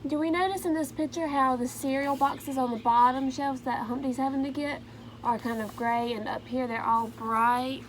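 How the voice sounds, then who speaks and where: A woman reading a children's picture book aloud in a slow, gentle storytelling manner, pausing to ask her listeners questions. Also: high voice, steady tone, a little hiss or hum, rough recording.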